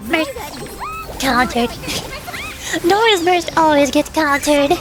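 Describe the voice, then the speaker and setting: A woman's voice: very high voice, huge pitch swings, very slow. A woman streamer giving casual gameplay commentary, playfully muttering and exclaiming to herself. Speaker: VTuber streamer. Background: faint game music.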